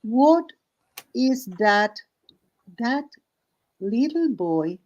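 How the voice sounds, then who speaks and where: A woman who is not a native English speaker tells an improvised story casually, halting phrase by phrase with searching pauses. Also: medium pitch, vocal fry, a little mellow, muffled recording. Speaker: host of an art and story stream.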